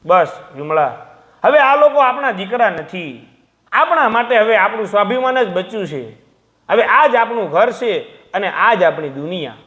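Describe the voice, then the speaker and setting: A man's voice: high voice, big pitch swings, moderate pace, fairly bright tone, in a large hall. A man voicing a dignified, consoling old retired teacher in a moral story, speaking earnestly and encouragingly. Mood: firm consoling.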